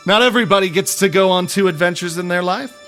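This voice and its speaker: A man playing a goofy, soft-hearted young wizard hero with a casual, halting, wry manner in a comedic fantasy audio drama. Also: sits high. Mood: hopeful musing.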